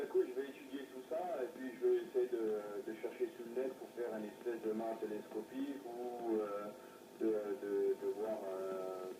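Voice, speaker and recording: A man chatting casually over a radio link, speaking in a hesitant, halting way. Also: on the low side. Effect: heard through a radio receiver. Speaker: amateur CB radio operator. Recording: noisy distant echoey radio reception.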